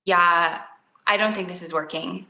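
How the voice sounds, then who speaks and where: Woman reading a blunt young painter lady, bright voice, kinda echoey room, matter of fact. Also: lowish voice, steady tone, measured pace, gravelly, exaggerated voice. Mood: breaking up. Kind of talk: table read of a comedy script.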